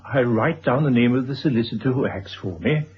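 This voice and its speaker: An actor playing a courteous, resolute former schoolmaster in a vintage radio drama, formal and measured. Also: male voice, mid-range voice, breathy edge. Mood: formal, firm. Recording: dull, faintly noisy old radio recording.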